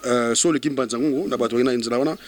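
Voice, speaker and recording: A man with a Congolese accent chatting casually as an interview guest in French and Lingala, halting and repeating words. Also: medium pitch. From Kongo Central, DR Congo.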